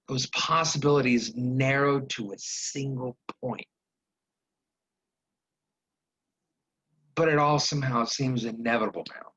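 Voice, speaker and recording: Man acting a slow, husky, weary husband. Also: medium pitch, a bit bright, full character voice, low-quality recording. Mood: wistful, resigned. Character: weary, resigned married man, a parent looking back. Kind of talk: dramatic monologue.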